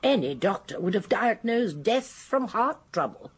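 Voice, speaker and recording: Old english spinster sleuth, radio play, very husky voice, really lively intonation, prim and sure of herself. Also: female voice, medium pitch, measured pace. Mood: assured. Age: older. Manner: precise, genteel, emphatic storytelling. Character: shrewd elderly spinster amateur detective, genteel, certain, gently indignant. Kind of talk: British radio drama acting.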